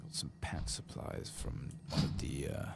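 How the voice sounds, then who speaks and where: A soft-spoken man murmuring his way through an ASMR game playthrough, in an unhurried manner. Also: heavy background noise. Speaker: gaming streamer.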